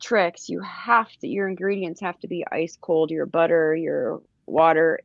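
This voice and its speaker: A woman with a low, gravelly voice, chatting casually as a show co-host. She explains things at length. The sound is dry and dull, like lo-fi online call audio. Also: fairly even intonation, measured pace, no room sound. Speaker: podcast co-host. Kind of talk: casual group chat.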